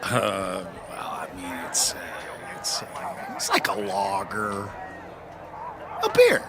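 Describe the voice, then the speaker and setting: A man's voice: on the low side, huge pitch swings, takes it slowly, over a noisy crowd, heavily acted. A man playing a chatty bartender in an audio drama, with a folksy, casual and informal manner. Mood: amused, easygoing. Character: chatty, easygoing male bartender. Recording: noisy bar-like ambience.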